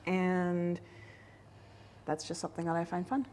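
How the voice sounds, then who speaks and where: A woman's voice: lowish voice, flat delivery, unhurried, a little mellow. A woman from Canada, a whiskey educator, chatting casually and with a joking tone. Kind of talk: casual chat.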